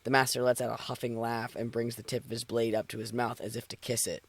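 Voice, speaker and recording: A man's voice: medium pitch, flat delivery, quick pace. A man streaming, switching between casual, sweary banter and narrating a story aloud. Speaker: streamer reading fan fiction aloud.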